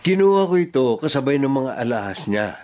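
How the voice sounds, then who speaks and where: An actor playing a brazen, unrepentant middle-aged husband in a Filipino radio drama, breezy and self-justifying. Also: male voice, medium pitch, big pitch swings, a little fast, darkish tone, natural voice. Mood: smug, defensive.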